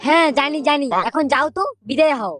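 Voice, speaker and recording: A woman voicing a sharp-tongued young married woman in a comedy cartoon, flustered and scolding. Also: high-pitched, a little fast, heavy background noise, natural voice. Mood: irritated. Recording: loud music under the voice.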